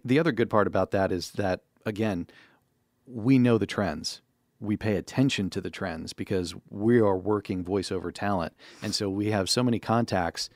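A man's voice: lowish voice, darkish tone, dry recording. An American man co-hosting a podcast in a casual conversational style, speaking into a close studio microphone. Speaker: podcast co-host and voiceover talent.

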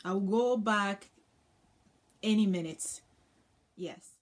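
Slow-talking young woman. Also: mid-range voice, a little hiss or hum. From Congo. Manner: chatty, with many ums and uhs. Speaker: vlogger. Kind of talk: casual talk to camera. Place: small indoor room with floral curtain.

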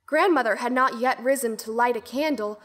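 A woman's voice: fairly high pitch, moderate pace, fairly bright tone, slight background noise, natural voice. A woman playing an earnest, dutiful young girl who tells her own fairy tale formally in an audio drama. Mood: earnest, reflective. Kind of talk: first-person storybook narration and acting in audio drama.

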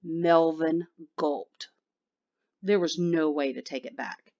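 A woman's voice: low voice, slow pace, darkish tone, lo-fi sound. A woman reading a picture book aloud expressively for children. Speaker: children's story reader.

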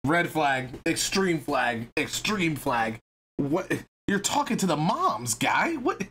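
Slow-talking American man reacting, lively pitch. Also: a little hiss or hum. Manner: laughing, exclaiming, mock outrage. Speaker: streamer reacting to a video. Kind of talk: casual reaction commentary.

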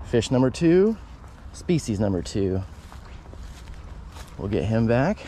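A man vlogging while fishing outdoors by a canal, talking casually and breaking into excited exclamations. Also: mid-range voice, takes it slowly, a little hiss or hum. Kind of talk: casual fishing vlog narration.

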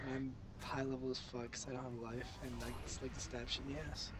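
A man's voice: mid-range voice, monotone, fast talker, over a noisy crowd. A teenage man with a cold, chatting and telling stories bluntly with lots of swearing as a gaming channel co-host. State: sick with a cold. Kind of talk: casual profane chat and storytelling over gameplay. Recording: clearly noisy home game recording.

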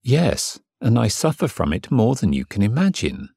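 A submissive, lovesick gentleman telling his own story in an audio drama. His voice is low and husky, earnest and measured, recorded very dry. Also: huge pitch swings, no echo, exaggerated voice. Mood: earnest, yielding. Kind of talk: dramatised first-person audiobook narration.

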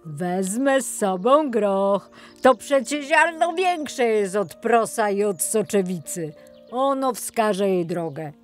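A woman's voice: mid-range voice, lively intonation, slow pace, soft background music, voice pushed up. A woman voicing a firm, reassuring woodcutter father in a fairy tale, speaking emphatically.

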